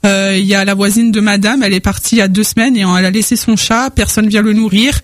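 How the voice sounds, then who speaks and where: Réunion-guy running a radio call-in, really high voice, teasing and chatty, radio sound. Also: steady tone, fast, no room sound. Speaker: radio host taking calls. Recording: radio broadcast.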